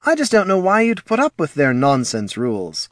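Guy doing a breezy little halfling, high voice, cheeky and casual, fantasy story. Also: huge pitch swings, moderate pace, dry recording, studio quality. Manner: flippant, casual.

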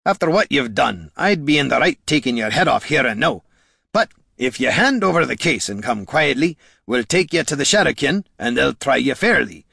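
A man voicing a gruff, shrewd, menacing dwarf adventurer with blunt rustic speech in a fantasy audiobook. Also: medium pitch, very animated, normal speed, sharp tone, no echo, clean studio sound, voice pushed up, heavily acted. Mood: stern, shrewd.

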